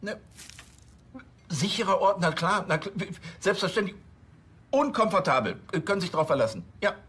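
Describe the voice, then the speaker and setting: Fussy, high-voiced flustered police chief. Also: male voice, sing-song, normal speed, fairly bright tone, slightly rough, exaggerated voice. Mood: eager, flustered. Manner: stammering, fussy, pompous. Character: flustered, self-important middle-aged male police chief. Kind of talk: TV crime comedy acting.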